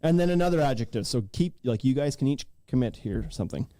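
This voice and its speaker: A man's voice: mid-range voice, lively intonation, fast, mellow. A man hosting a casual podcast, reading silly stories aloud in a jokey way and prone to laughing through his sentences. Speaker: podcast host.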